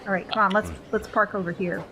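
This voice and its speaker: A woman with a mid-pitched voice talks over clear background noise. She is a tabletop game player, improvising casually and haltingly as she role-plays. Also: steady tone, sharp tone, some chatter behind. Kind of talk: casual in-character role-play and table talk.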